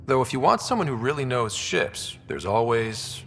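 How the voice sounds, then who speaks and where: An actor playing a young explorer and team leader in a video game, husky, easygoing and curious. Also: male voice, medium pitch, very animated, normal speed, a bit bright, in a large hall, soft background music. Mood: supportive. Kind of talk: video game character acting.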